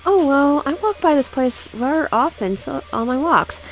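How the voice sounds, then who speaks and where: Woman voicing a shy young boyfriend, awkward, stumbling, self-deprecating, animated pitch. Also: normal speed, soft background music, low-quality recording. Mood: sheepish. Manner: hesitant, stumbling, sheepish.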